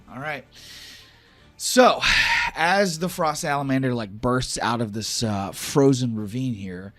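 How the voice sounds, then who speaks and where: A man running a tabletop fantasy game, mixing dramatic storytelling with casual, sweary table talk. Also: medium pitch. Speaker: game master.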